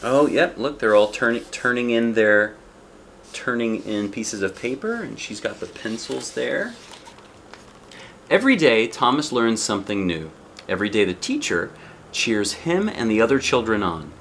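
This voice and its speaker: A man's voice: mid-range voice, big pitch swings, moderate pace, vocal fry, fairly bright tone, a little hiss or hum. A man reading a children's picture book aloud expressively, with chatty asides and questions to the young listener. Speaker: storybook reader.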